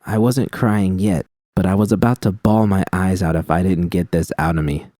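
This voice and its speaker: A man with a very deep, dark voice reads first-person fan fiction in a measured, steady way. The recording is dry and close, with no room sound. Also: no echo. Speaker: reader.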